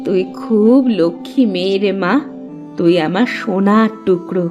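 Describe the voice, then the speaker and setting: A woman voicing a gentle, consoling village mother in an animated fairy-tale cartoon, with a soothing, tender manner. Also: mid-range voice, moderate pace, muffled recording, soft background music, lightly acted.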